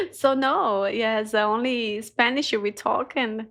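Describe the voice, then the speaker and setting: A Brazilian woman with a São Paulo accent, a native Portuguese speaker chatting as a podcast guest in halting English, laughing often. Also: on the high side, animated, fairly bright tone. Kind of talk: casual chat.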